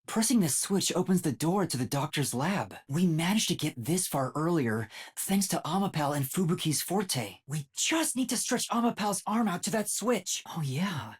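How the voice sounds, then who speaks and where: A man's voice: fairly high pitch, big pitch swings, moderate pace, hardly any pauses, breathy edge. A man voicing an earnest, timid young male detective in a video game, with a light boyish voice and a hesitant, thoughtful way of thinking aloud. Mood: pondering. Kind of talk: video game voice acting, investigative monologue.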